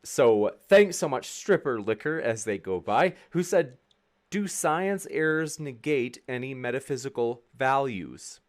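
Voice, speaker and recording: A man's voice: mid-range voice, expressive, a little slow. A man co-moderating a debate, casually reading viewer questions and inviting replies.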